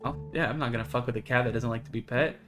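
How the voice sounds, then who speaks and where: Bright-voiced male game streamer. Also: mid-range voice, fairly even intonation, racing, faint music behind. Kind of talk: reading a visual novel aloud on a livestream, with casual reactions.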